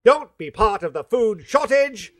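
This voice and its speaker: An alarmist radio advert announcer. She delivers grave scripted warnings slowly and deliberately, with dramatic swings in pitch. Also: big pitch swings. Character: grave, alarmist commercial announcer for survival food.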